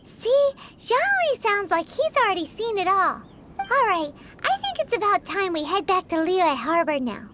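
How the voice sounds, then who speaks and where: A woman voicing a tiny, excitable childlike fairy companion in a video game, squeaky and full of exclamations. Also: moderate pace, noisy background. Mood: chirpy. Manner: exclaiming, refers to self in third person. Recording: music behind the voice. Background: game music.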